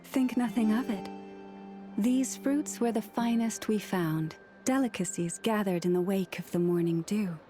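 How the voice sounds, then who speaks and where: A woman playing a serene, gracious moon priestess in a video game, speaking in gentle, formal, poetic phrases. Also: mid-range voice, unhurried, over music, close to an everyday voice. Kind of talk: video game character acting.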